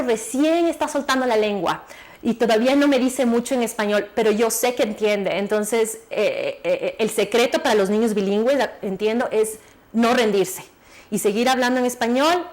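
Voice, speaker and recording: Guest author: woman, 30s, bit high voice, quick animated chat with lots of restarts. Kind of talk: TV interview, casual chat.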